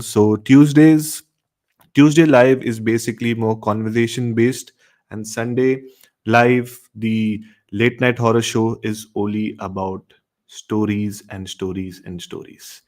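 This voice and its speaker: A man's voice: on the low side, darkish tone. Man hosting a livestream show. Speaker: host of a horror story show. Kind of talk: livestream hosting, addressing listeners.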